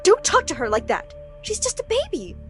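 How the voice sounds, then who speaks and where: A woman voicing a scolding, dismissive parent in an animated story, sharp and exasperated. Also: very high-pitched, moderate pace, music in the background, heavily acted.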